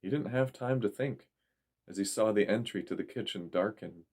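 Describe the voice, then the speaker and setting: A man's voice: lowish voice, normal speed, a little mellow. A man reading a story aloud as a dramatic audiobook narrator.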